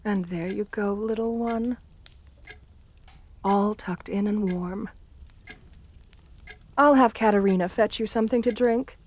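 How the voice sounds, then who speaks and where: An assertive, seductive countess with an air of the vampire about her, played by a woman. Her voice sits at a medium pitch for a woman. She speaks intimately, as in an ASMR roleplay, flirtatious and soothing with genteel poise. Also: unhurried, long pauses, lightly acted. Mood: tender.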